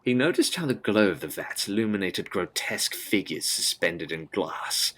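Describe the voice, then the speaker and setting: A man's voice: low voice, lively intonation, moderate pace, sharp tone. A man narrating a gothic audio drama as scripted, with grave, dramatic phrasing.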